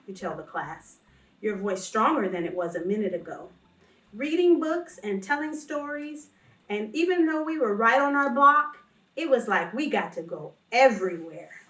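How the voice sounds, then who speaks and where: A woman's voice: fairly high pitch, lively intonation, normal speed, a bit bright. A woman co-hosting a read-along for young children, reading a picture book aloud with expression. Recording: roomy, distant microphone, music behind.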